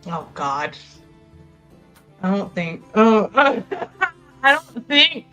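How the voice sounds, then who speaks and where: Woman, slow hesitant delivery, improvised roleplay, casual asides, faint background music. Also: medium pitch, big pitch swings, sharp tone, muffled recording. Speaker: tabletop roleplaying player.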